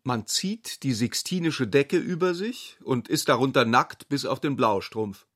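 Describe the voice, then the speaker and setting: A man's voice: mid-range voice, lively intonation, measured pace, fairly bright tone. An actor playing a wry, cultured man who speaks articulately in a literary radio play.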